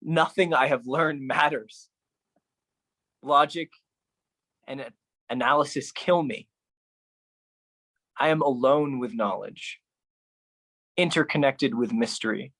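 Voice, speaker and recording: A man performing his own writing as a spoken-word solo artist, speaking deliberately with pauses. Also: medium pitch, a bit bright. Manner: slow, deliberate delivery with pauses.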